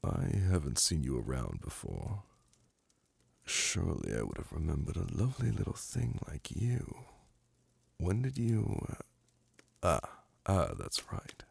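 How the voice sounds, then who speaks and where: A man voicing a suave, flirtatious, lordly demon prince in an ASMR roleplay, purring teasing endearments in a languid, imperious manner with a gravelly, breathy voice. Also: low voice, big pitch swings, a little slow, pauses often, dry recording, full character voice. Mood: curious. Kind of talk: ASMR roleplay audio drama. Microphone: close, dry microphone.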